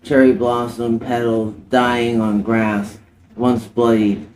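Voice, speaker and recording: A man reciting poetry in a dramatic spoken word style, in deliberate short phrases with emphatic stresses. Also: mid-range voice, flat delivery, a little mellow. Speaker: poet reciting own poem. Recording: clearly noisy recording, ambient background.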